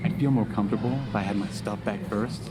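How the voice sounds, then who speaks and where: A man's voice: lowish voice, steady tone, fast talker, heavy background noise, close to an everyday voice. A man playing a suspicious, sarcastic insomniac musician in a naturalistic audio drama, blustering and then hesitant.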